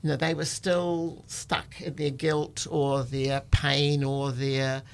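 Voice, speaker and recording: Older New Zealand woman, gravelly voice. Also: a bass voice, level tone, takes it slowly. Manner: informal, reflective, often says "you know". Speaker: storytelling guest, activist. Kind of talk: conversational personal storytelling.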